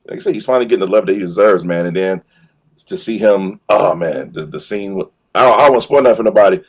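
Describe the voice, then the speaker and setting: A man's voice: low voice, quick pace, breathy edge. A man talking casually and enthusiastically as a fan on a panel, effusive and slangy. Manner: effusive, slangy, addresses listeners as bro and man.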